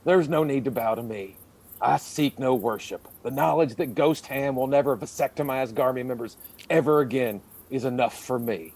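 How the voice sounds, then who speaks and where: A man's voice: medium pitch, moderate pace, a little mellow, close to an everyday voice. A man playing a pompous, melodramatic hero with a lightsaber in a comic radio play, declaiming in mock-heroic style. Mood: noble.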